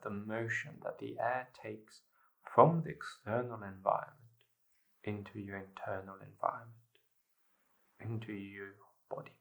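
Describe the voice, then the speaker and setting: A man leading a guided meditation, giving measured instructions in short phrases with pauses between them. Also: lowish voice, creaky, poor audio.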